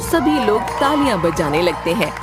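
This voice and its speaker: Animated woman narrating a story. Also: mid-range voice, moderate pace. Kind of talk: scripted moral story narration. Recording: music behind the voice.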